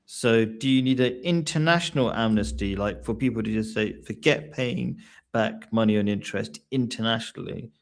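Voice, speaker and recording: A man's voice: on the low side, normal speed. A man from England hosting a live online discussion, asking questions and often restarting his sentences. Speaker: host.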